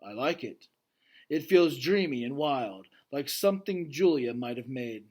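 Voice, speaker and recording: A man voicing a gentle, wistful and earnest adult gorilla from a children's storybook, with hushed, dramatic phrasing. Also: mid-range voice, animated, a little slow. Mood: calm, reflective. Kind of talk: children's novel read aloud, first person.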